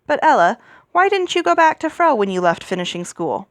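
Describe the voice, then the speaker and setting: A woman reads the part of a young storybook prince, courteous and used to giving orders. The delivery is assured, with animated intonation and a dry, close sound. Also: sits high, brisk, no room sound, pitched above the performer's own voice. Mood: concerned, surprised.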